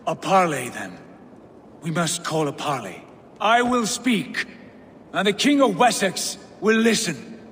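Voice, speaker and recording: An actor playing an awestruck, prophetic Viking leader in a video game, speaking in a halting, portentous way. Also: male voice, high-pitched, very animated, a little hiss or hum, full character voice. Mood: fervent, resolute. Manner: slow, portentous, halting delivery. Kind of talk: dramatic video game cutscene acting. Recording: long reverb under loud music.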